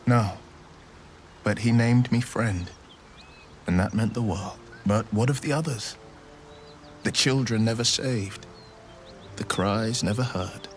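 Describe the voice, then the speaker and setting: Fantasy game hero: slow-spoken, righteous monster hunter, earnest, theatrical, faint music underneath. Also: male voice, on the low side. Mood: solemn, rueful. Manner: earnest, measured, formal, theatrical. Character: noble, righteous, troubled male monster-hunting hero. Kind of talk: video game character acting.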